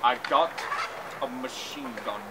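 A man's voice: medium pitch, measured pace. A man in his twenties improvising comedy and chatting casually outdoors, physically active as he performs. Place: outdoors on a park lawn. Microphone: camera a few meters away. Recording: noisy outdoor camera audio.

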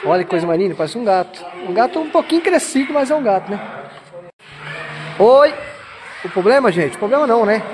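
A man with a southern Brazilian accent narrating a wildlife park visit on his phone, enthusiastic and talking to his viewers, constantly exclaiming in awe. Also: high-pitched. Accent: Brazilian Portuguese, southern Brazil. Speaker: vlogger filming a zoo visit. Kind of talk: casual handheld narration. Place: outdoor wildlife park with enclosures. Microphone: phone camera held while walking. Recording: noisy outdoor phone recording. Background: outdoor ambience and animal sounds.

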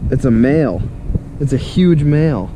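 A man out fishing on a small boat, exclaiming and chatting as he works. His voice sits in a middle pitch. He is recorded on an action camera, with clear wind and boat noise behind him. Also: lively intonation, normal speed. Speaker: angler filming his trip. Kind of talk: casual running commentary. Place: small fishing boat outdoors on open water. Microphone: head-mounted action camera. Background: wind, water and boat noise.

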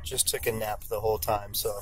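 A young man chatting casually as a travel vlogger, joking and relaxed, filmed on a handheld camera. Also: fairly low pitch, brisk, faint music behind. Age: young adult. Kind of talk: casual vlog commentary. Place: sleeper train cabin and small kitchen.

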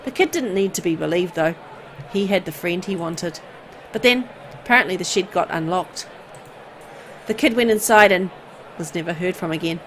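A woman reading visual novel text aloud as expressive, storytelling narration. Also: fairly low pitch, normal speed, slight background noise. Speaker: video game player and narrator.

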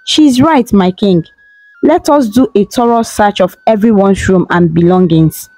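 A woman's voice: mid-range voice, unhurried, dark tone, no room sound, soft background music, natural voice, low-quality recording. A woman voicing a stern royal queen who speaks in a commanding, contemptuous way in a storybook tale. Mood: measured.

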